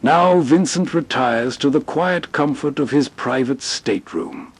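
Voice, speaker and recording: A man's voice: medium pitch, a little slow, heavy background noise. A man hosting with soothing scripted narration in a bedtime storytelling style. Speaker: host narrator.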